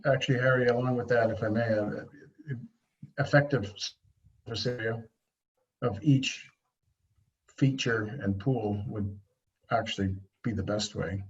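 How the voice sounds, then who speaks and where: A man's voice: medium pitch, fairly even intonation, a little slow, long pauses. A polite, agreeable man taking part in an informal committee discussion over a conference call. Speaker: committee member. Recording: muffled conference call audio.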